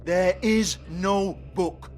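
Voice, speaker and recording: A man's voice: sits high, fairly even intonation, very slow, darkish tone. A man voicing a foul-mouthed, mocking British club owner in a video game, sleazy and swaggering. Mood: threatening. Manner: sarcastic, taunting, swaggering. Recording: music under the voice.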